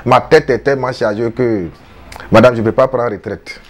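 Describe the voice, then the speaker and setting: A man's voice: medium pitch, steady tone, normal speed, echoey room, far from the mic, slight background noise. A man in his fifties, a traditional healer, speaking in an earnest, repetitive, self-promoting way in a casual interview on a veranda. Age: 50s. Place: outdoor veranda by a house wall.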